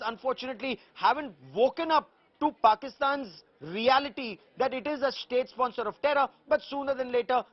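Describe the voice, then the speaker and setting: A man's voice: extremely high pitch, lo-fi sound. A man with an Indian accent giving live news analysis as a correspondent, speaking emphatically and assertively.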